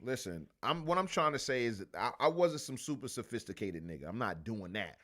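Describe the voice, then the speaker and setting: Lively, animated man hosting a podcast. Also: medium pitch, brisk. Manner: animated, profane storytelling. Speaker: podcast host. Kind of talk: casual banter.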